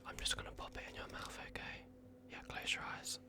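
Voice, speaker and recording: A man voicing a playful, fussy vampire doctor in an ASMR roleplay, murmuring halting reassurances in a breathy whisper. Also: close to an everyday voice. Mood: gently attentive. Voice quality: breathy whisper with gravelly creak.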